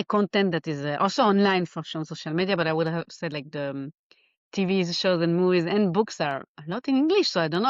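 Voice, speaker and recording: A woman from Geneva with a Swiss French accent, a life coach chatting in an interview and often pausing to find her words. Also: on the low side, no echo, muffled recording. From Geneva, Switzerland. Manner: animated, laughs often, searches for words. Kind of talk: casual interview chat.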